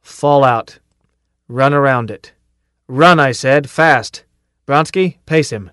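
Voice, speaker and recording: A man reading an audiobook, voicing a loud, tough male drill sergeant who bellows orders and insults. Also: medium pitch, unhurried, no room sound, clean studio sound, close to an everyday voice. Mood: barking.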